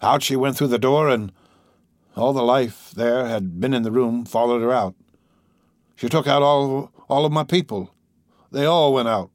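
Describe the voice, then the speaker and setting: A man voicing a lonely, excitable little old man in an audiobook, with a thin, shaky, halting delivery. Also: medium pitch, no echo, studio quality, pitched above the performer's own voice. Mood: agitated, grieving. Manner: halting, stammering, repeating words.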